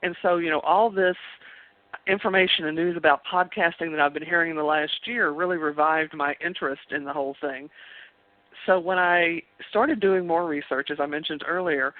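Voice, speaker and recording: A woman guest on a radio interview, explaining in a friendly, measured way. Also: sits low, dry recording. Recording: tinny dry phone line.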